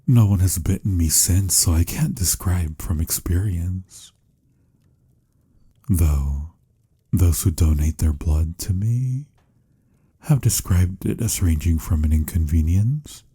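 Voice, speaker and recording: A man playing a tender, teasing, lovelorn vampire in a romantic ASMR roleplay, speaking intimately and soothingly in a husky, breathy voice that slips into whispers. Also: sits low, warm tone, heavily acted. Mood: gentle, explaining. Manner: intimate, soothing, slightly formal endearments.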